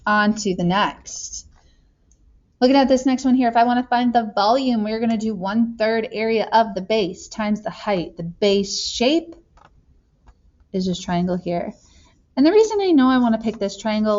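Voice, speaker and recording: A woman's voice: a little high, darkish tone, lo-fi sound. Chatty woman teacher thinking aloud. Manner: chatty, thinks aloud, self-deprecating asides. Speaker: math teacher. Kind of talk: casual worked-example lesson.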